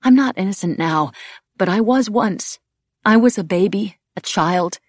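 Woman acting a bitter, desperate young woman, husky voice. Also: sits low, no room sound, clean studio sound. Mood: angry, accusing. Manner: emotional, pleading, halting. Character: young woman, bitter, desperate, irreverent, praying to absent god. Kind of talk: audiobook character dialogue.

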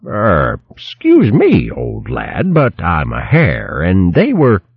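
A man's voice: sits low, wildly swooping pitch, takes it slowly, creaky, breathy edge, no echo, dull audio, exaggerated voice. A man voicing a pompous, whining old male hare from an animal storybook, melodramatic and full of old-fashioned posh British slang. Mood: polite protest.

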